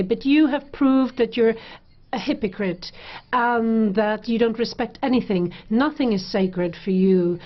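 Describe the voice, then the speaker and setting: A woman's voice: medium pitch, dull audio. A woman speaking in a parliamentary debate, blunt and accusatory. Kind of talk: parliamentary floor speech.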